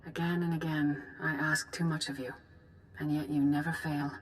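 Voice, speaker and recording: An actress playing a grave, composed female Jedi master in a video game, speaking in a formal, measured, solemn way. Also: sits low, flat delivery, natural voice, rough recording. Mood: solemn concern. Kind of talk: scripted video game dialogue.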